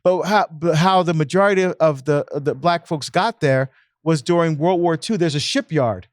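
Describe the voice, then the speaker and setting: A man's voice: on the high side, moderate pace, dry recording, studio quality. A man, a parish priest, talking in a casual podcast discussion and telling stories with frequent restarts. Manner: storytelling, frequent restarts and repeated words.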